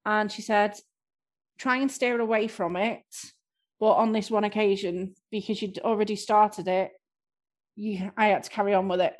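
A woman's voice: medium pitch, fairly even intonation, normal speed. Chatty woman with a slight Scouse twang. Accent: light Liverpool twang. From near Liverpool, North West England. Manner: candid, chatty storyteller. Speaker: improv performer, guest. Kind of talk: casual conversation.

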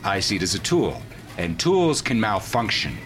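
An actor playing a blunt, practical commander of a deep-sea base in a sci-fi audio drama. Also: male voice, very animated, moderate pace, a little hiss or hum. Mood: wary, skeptical. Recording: loud rumbling industrial ambience.

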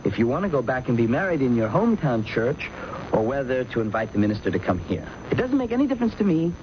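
An actor in a radio drama playing an anxious, defensive office worker, speaking in a nervous, halting, pleading way. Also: male voice, medium pitch, very animated, close to an everyday voice. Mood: weary, pleading. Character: anxious, defensive male office worker protesting his innocence. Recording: dull, noisy vintage radio recording.

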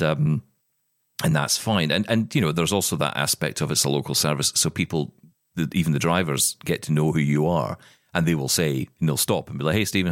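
Guy with a really low voice just chatting on a podcast, keeps restarting his sentences, dry sound. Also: dry recording. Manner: rambling, repeats words when starting sentences. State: blind, describing progressive vision loss. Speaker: podcast co-host. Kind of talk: casual chat.

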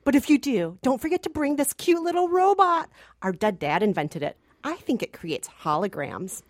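A woman playing an excitable teenage girl, a devoted sister, in a spoof radio play. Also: sits high, normal speed, no room sound, studio quality, pitched above the performer's own voice. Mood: excited, pleading.

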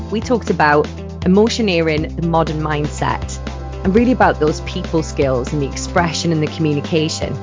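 Brief: woman, podcast host, lowish voice, effusive, dull lo-fi sound with music under. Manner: upbeat, effusive praise. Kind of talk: podcast interview hosting.

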